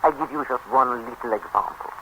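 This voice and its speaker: An actor playing a middle-aged, fussy and excitable French hotel manager in a 1930s radio comedy, speaking theatrical broken English with a French accent. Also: male voice, mid-range voice, fairly quick, lightly acted. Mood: confiding, exasperated. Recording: noisy old 1930s radio broadcast.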